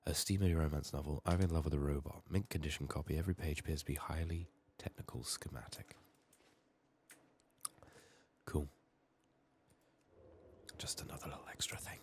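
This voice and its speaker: A soft-spoken man giving unhurried ASMR game commentary, musing to himself and sometimes slipping into a whisper. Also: very deep voice, slight background noise. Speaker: gaming streamer, ASMR host.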